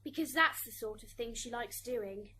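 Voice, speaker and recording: High-pitched petulant schoolgirl played by a woman. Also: moderate pace, bright, forceful tone. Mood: defensive. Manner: petulant, self-justifying. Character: resentful schoolgirl daughter testifying in afterlife court. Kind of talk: amateur radio drama acting. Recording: hissy amateur recording, background noise.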